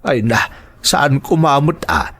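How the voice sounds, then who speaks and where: Man acting bright-edged, fretful older father. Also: mid-range voice, expressive, takes it slowly, slightly rough, exaggerated voice. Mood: puzzled concern. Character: worried, caring older father. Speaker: drama actor. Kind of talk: melodramatic audio drama acting.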